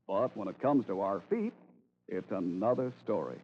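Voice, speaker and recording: A man's voice: on the low side, lively intonation, moderate pace, slight background noise. A man announcing in a formal, polished 1940s radio style, reading scripted narration and sales copy. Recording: tinny old radio broadcast recording.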